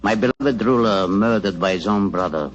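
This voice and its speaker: An actor playing a grave, loyal Indian royal physician with a solemn, formal, deliberate manner, in 1940s radio drama style. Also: male voice, lowish voice, close to an everyday voice. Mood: grieved, solemn. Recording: dull 1940s radio broadcast, music behind.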